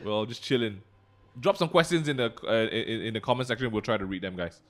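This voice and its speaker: A man, hosting live commentary on a card game tournament with an excitable, slangy manner. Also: lowish voice, brisk. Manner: excitable, slangy, hypes players.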